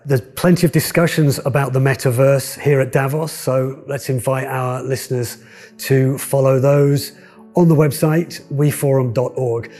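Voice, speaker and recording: A man hosting a radio interview podcast, speaking in a friendly, measured way and reading some parts from notes. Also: mid-range voice, a little mellow. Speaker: podcast host. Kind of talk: radio interview and scripted intro.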